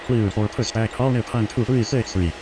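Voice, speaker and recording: A man's voice: fairly low pitch, normal speed, lightly acted. Man acting airline pilot over very noisy radio. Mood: businesslike. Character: businesslike male airline pilot in flight game. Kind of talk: scripted radio phraseology.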